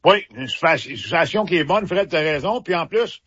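Quebec guy, ex hockey player, kinda husky voice, muffled radio sound, rambles a lot. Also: fairly high pitch, lively intonation, a little fast, fairly bright tone. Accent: Quebec French. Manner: rambling, many restarts and fillers. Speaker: former hockey player, sports commentator. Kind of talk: casual radio sports chat.

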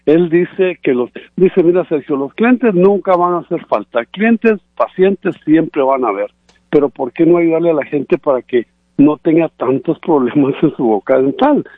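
A man's voice: fairly high pitch, lively intonation, normal speed, no echo. A man calling in to a radio show, explaining dental care in a casual, repetitive way. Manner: explanatory, repeats points. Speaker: regular guest presenting sponsor. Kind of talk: casual radio chat, promotional. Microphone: phone call. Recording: tinny phone line.

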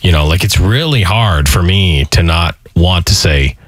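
A man's voice: deep voice, expressive, measured pace, no room sound, studio quality. A man co-hosting a radio show as a teasing, agreeable sidekick. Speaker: radio co-host. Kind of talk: casual radio banter.

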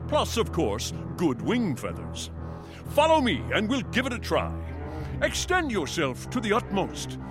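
A pompous, fatherly swan declaims in a resonant, fairly high-set voice that swoops dramatically up and down. The speech is flowery and proud, like a storybook orator, with music playing behind it. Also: wildly swooping pitch, a little slow, full character voice. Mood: grandly encouraging.

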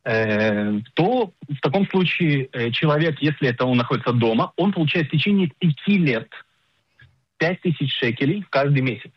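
A middle-aged man explaining things as an expert guest in a radio interview, didactic and emphatic, pausing to search for words. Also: on the high side, expressive, husky, no room sound. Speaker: insurance agent and financial planner, radio guest. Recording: dull lo-fi radio sound.

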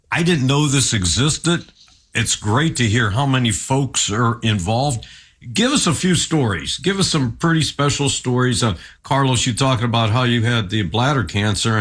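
Mid-pitched older man hosting an interview. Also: a bit bright, slightly rough. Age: around seventy. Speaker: interview host. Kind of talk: casual conversational interviewing.

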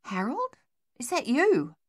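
A gruff young soldier in a war story, voiced by a woman narrator. He speaks with urgency, trying to keep his comrade steady under fire. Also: high voice, slow pace, pitched above the performer's own voice, heavily acted. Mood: startled.

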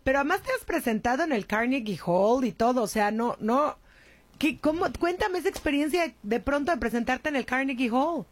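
Casting: high-pitched woman hosting a talk show, gushing and chatty. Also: lively intonation, fairly quick. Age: adult with a young daughter. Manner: effusive, chatty, repeats "señores, señores". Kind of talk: lively talk show interview.

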